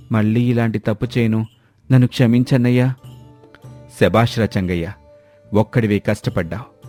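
A man playing humble, earnest village men in the dialogue of an animated moral story. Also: mid-range voice, no room sound, close to an everyday voice. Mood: apologetic, then approving. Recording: music under the voice.

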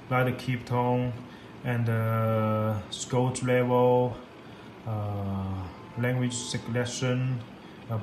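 A man giving a step-by-step software tutorial in halting, repetitive English. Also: medium pitch. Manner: halting, repetitive non-native English phrasing. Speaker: product demonstrator. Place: indoors at a laptop on a desk. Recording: echoey room with clear background noise.

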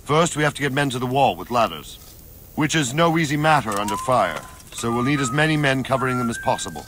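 An actor playing a grizzled older soldier in a video game, blunt, fatherly and resolute, with a measured, commanding delivery. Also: medium pitch, huge pitch swings, a bit bright, slight background noise. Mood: resolute, instructing. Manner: measured, plain-spoken, commanding. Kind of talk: dramatic video game cutscene acting. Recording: music behind the voice.

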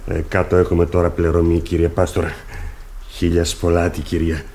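A man's voice: deep voice, completely flat delivery, dark tone. An actor playing a humble, sly working man in a radio play, speaking in a deferential, rustic, hesitant way. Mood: meek, apologetic. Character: humble, sly working-class carpenter, obsequious and pious. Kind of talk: radio theatre acting.

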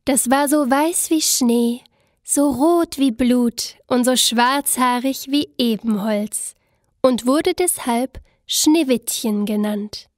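A woman narrating a scripted fairy tale in an expressive, storybook manner. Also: fairly high pitch, no room sound, clean studio sound. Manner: expressive, unhurried storybook telling.

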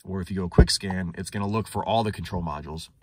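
A man with a low-pitched voice walks through a tool demonstration off the cuff. He sounds like a working technician, chatting conversationally and thinking aloud as he goes. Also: fast, darkish tone, husky, no room sound. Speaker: automotive technician reviewing a scan tool. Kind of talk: casual unscripted product demonstration. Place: inside a parked car in a shop.

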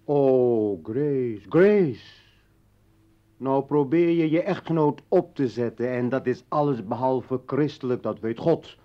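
An actor playing a bitter, menacing revolutionary ex-husband in a radio play, with a taunting, rhetorical manner. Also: male voice, mid-range voice, slow pace, lightly acted. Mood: bitter, reproachful. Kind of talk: Dutch radio play acting. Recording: dull, echoey old radio recording, distant.

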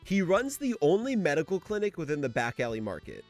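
Animated male video essayist, casual. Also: on the high side, a little fast, soft background music. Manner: informal, conversational, mildly sweary. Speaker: video essayist, series host. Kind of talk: casual scripted commentary.

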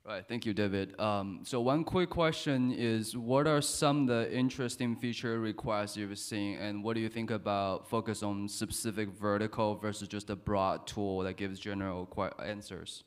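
A man asking the speaker a question about feature requests, slow and deliberate. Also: lowish voice, smooth. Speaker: audience member asking a question.

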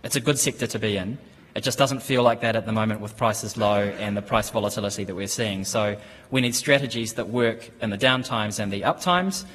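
A man in his thirties with a New Zealand accent gives a conference presentation at a lectern, speaking in a measured, steady way with frequent ums and restarts. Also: lowish voice. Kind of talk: business presentation. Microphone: lectern microphone. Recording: conference hall with long reverb.

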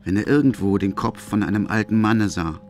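A man's voice: lowish voice, brisk. A man narrating a literary radio drama as its storyteller.

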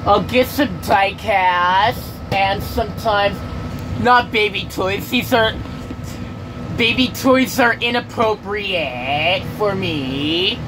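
A young man chatting casually to a handheld camera in a halting, repetitive, rambling way. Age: teenager or young adult. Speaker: vlogger. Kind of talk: casual rambling vlog chat. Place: inside a moving school bus. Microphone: handheld camera at arm's length. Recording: loud bus engine and road rumble.

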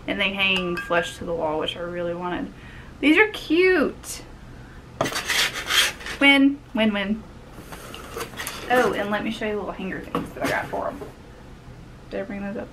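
A woman's voice: mid-range voice, sing-song, measured pace, long pauses. A young woman with a light Southern American lilt chats away, upbeat and a little scattered, as she tidies her small office. She is picked up by the camera mic as she moves around the room, with a faint hum in the background. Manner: chatty, upbeat, thinks aloud while tidying. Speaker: vlogger. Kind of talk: casual cleaning and haul vlog. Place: small home office, indoors.

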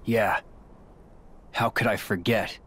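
An actor playing a brooding, earnest young hero in a video game, terse and intense. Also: male voice, on the low side, fairly even intonation, slow pace, a bit bright, breathy edge, exaggerated voice. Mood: grim, rueful.

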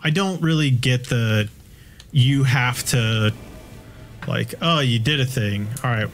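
A man in his thirties chatting casually while playing a video game, swearing freely and often restarting his sentences in a rambling, halting way. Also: mid-range voice, faint music behind. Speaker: video game player and co-host. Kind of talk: casual gaming chat.

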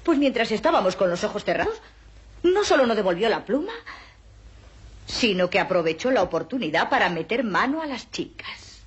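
An actress playing a prim, earnest schoolteacher in a film mock interview. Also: female voice, mid-range voice, sing-song, moderate pace, husky. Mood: prim reminiscing. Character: prim, earnest female schoolteacher recalling a pupil.

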